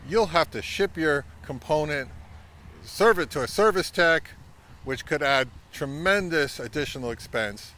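A middle-aged man giving a measured, instructional talk to camera as a vlog host, recorded outdoors. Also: a little high, fairly bright tone, a little hiss or hum. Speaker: vintage audio channel host. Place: outdoors by a pond and on a wooded trail.

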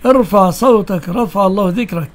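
A man's voice: sits high, brisk. A man with an Egyptian accent hosting a live call-in religious question session, answering callers bluntly and questioning them back.

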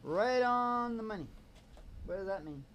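Older folksy man, high-pitched, slow. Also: lively intonation, a bit bright. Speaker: podcast host and musician. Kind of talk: casual motivational chat. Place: small curtained stage, indoors. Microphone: stand microphone. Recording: live mic with acoustic guitar. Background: acoustic guitar.

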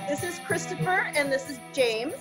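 A woman's voice: high-pitched, expressive, moderate pace, fairly bright tone, soft background music. A woman in her thirties with a Michigan accent, co-hosting in a bubbly, laughing way on a video call. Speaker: co-host. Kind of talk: casual video call chat.

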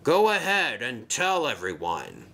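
A friendly diesel engine, voiced by a man in a storybook. He talks slowly and reassuringly, in a bright voice with wide swings of pitch. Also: vocal fry, heavily acted. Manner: calm, reassuring.

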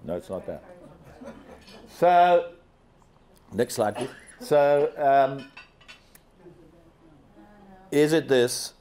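An older man from Zambia giving an animated slide presentation to a seated audience in a large banquet hall, speaking into a handheld microphone and asking the listeners questions. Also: mid-range voice, drawn-out words, long pauses. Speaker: guest speaker.

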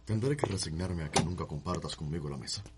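An actor playing a harsh, controlling slave-owning Cuban husband, sneering and hot-tempered, in a radio soap opera. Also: male voice, sits low, expressive, quick pace, heavy background noise. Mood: cold, sardonic.

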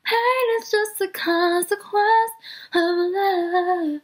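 A woman's voice: very high voice, very slow. A woman, an amateur singer, singing pop and jazz covers as a voice sample.

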